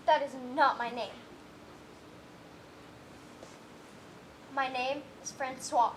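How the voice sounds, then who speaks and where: A woman's voice: high voice, fairly even intonation, normal speed, a little hiss or hum, close to an everyday voice. A young woman playing a grief-stricken, imaginative orphan girl in a school stage play. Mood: defiant.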